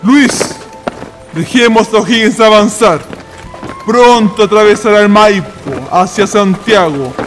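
An actor playing a bold military leader giving orders in a historical radio drama, dramatic and commanding. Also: male voice, high voice, unhurried. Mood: commanding, grave. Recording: loud music behind.

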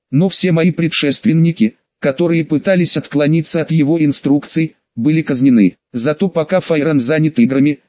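A man's voice: on the high side, a little mellow, no echo, close to an everyday voice. A pragmatic undead alien commander read by a man talks in a blunt, conspiratorial, formal way. The audiobook recording is dry and a little muffled.

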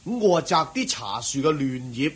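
A man's voice: medium pitch, very animated, moderate pace, husky. A man acting a kindly, humble roadside tea giver in a Cantonese radio drama, with a chuckling, exclamatory, folksy way of talking. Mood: humble, earnest.

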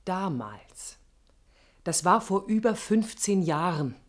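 An actress playing an outspoken, wounded adult daughter in a radio play, with a husky voice and a pointed, challenging way of talking. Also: female voice, fairly low pitch, animated, takes it slowly. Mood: sceptical, curt. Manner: pointed, reproachful.

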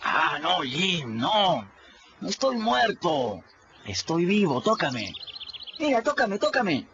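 A man playing a cheeky runaway adolescent boy who narrates his river adventures in a colloquial, animated radio drama style. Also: sits high, slow, slight background noise, heavily acted. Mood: pleading, reassuring. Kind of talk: radio drama storytelling in first person. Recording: muffled radio production with music behind.

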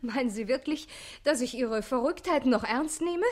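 An actress playing a proud, evasive, indignant countess in a formal, theatrical radio play style. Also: female voice, sits high, animated, normal speed. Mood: offended.

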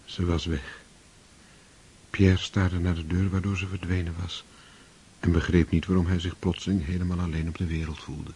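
A male narrator reading scripted narration for a radio play in a measured, solemn way. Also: low-pitched, little pitch movement, noisy background.

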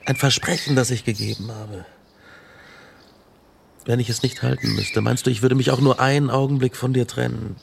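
An actor playing a tormented, guilt-ridden young scientist in a German radio drama, grave and intense. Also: male voice, medium pitch, steady tone, moderate pace, gravelly, long pauses, noisy background. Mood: subdued, pleading. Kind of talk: German radio drama acting, narration and dialogue.

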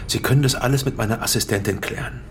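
An actor playing a composed, conciliatory male mayoral candidate with a measured, businesslike manner in a naturalistic radio crime drama. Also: mid-range voice, fairly bright tone, a little hiss or hum, lightly acted. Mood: businesslike, reassuring. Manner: matter-of-fact, measured, businesslike.

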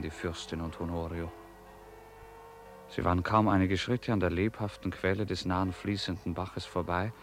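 Low-voiced male radio drama narrator.

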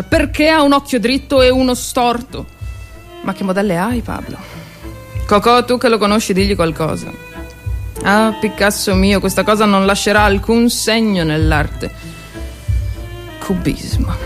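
A woman's voice: medium pitch, lightly acted. A woman performing a theatrical monologue as an ironic, cultured society lady and proud wartime volunteer nurse, with rhetorical, emphatic delivery. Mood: ironic dismay. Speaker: solo stage actress.

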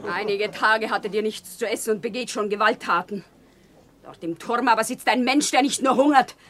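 A high female voice carries this fervent rebel wife in a radio play. She speaks with theatrical, impassioned declamation, always pleading for justice. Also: normal speed, fairly bright tone. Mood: indignant. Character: fervent, rebellious shopkeeper's wife rousing a mob. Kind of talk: theatrical German radio drama acting.

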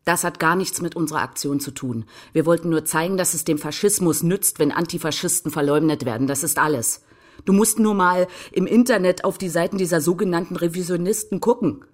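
Actress as scornful young political activist for radio drama; slightly low register, curt and accusing delivery. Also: female voice, fairly quick, natural voice. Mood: insistent, accusing. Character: combative young left-wing activist woman, defiant and scornful. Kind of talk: German crime radio play dialogue.

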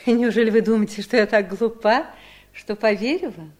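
A woman acting an imperious, scheming wife in a seventies radio play. The voice sits fairly high, and the delivery is theatrical, mocking and full of disdain. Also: moderate pace, a little mellow, natural voice. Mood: scornful disbelief. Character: haughty, scheming, greedy wife and mother, imperious. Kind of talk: Soviet 1970s radio drama acting.

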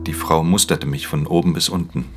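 An actor playing a weary, watchful pickpocket who narrates a radio crime drama in a measured, introspective way. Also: male voice, a bass voice, steady tone, music in the background. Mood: discreet, wary. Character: male professional pickpocket, haunted, watchful, weary loner.

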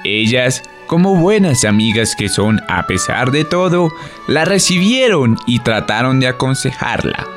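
A man's voice: medium pitch, big pitch swings, measured pace, few pauses. A man with a Colombian accent giving scripted storyteller narration for a radio drama. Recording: music under the voice.

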